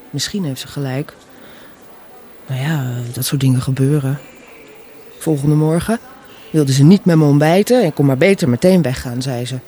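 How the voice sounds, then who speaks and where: A woman playing a wistful, gently stubborn mother in her fifties in a Dutch radio drama, with a husky voice. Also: low voice, full character voice. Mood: subdued, wistful. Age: middle-aged. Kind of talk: Dutch radio play dialogue, naturalistic.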